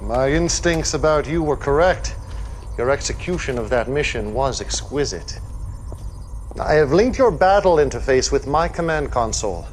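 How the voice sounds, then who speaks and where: An actor playing a zealous, commanding cult leader in a video game cutscene, speaking in solemn, deliberate, preacher-like oratory. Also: male voice, mid-range voice, expressive, a little hiss or hum, lightly acted. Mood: proud.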